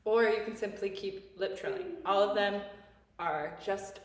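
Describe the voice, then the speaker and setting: A woman's voice: mid-range voice, unhurried. A woman coaching singing exercises step by step, encouraging and given to playful asides. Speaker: singing teacher leading vocal exercises. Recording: echoey room, distant mic, music notes behind.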